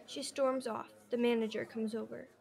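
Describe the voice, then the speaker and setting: A woman's voice: fairly high pitch, little pitch movement, moderate pace, creaky, darkish tone. A young woman reading scripted narration for an amateur radio drama. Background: music with guitar under the voice.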